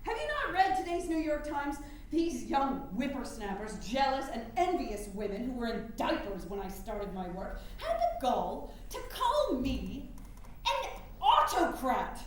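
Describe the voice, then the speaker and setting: A woman's voice: high-pitched, big pitch swings, a little slow. A woman acting an indignant, bitter veteran suffragist in an emphatic, declamatory staged reading. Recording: very echoey room, faint noise.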